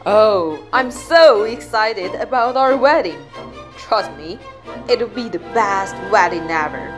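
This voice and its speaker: A woman playing a measured, deliberate male duke in an amateur radio drama, with some hesitation. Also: medium pitch, animated, music in the background. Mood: pleased. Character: calm male duke, ruler and judge, measured.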